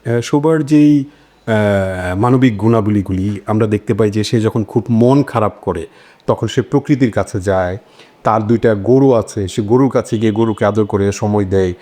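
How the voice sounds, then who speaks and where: A man's voice: lowish voice, normal speed, dark tone. A man hosting a conversational panel discussion, hesitating and repeating words as he forms his points. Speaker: discussion host.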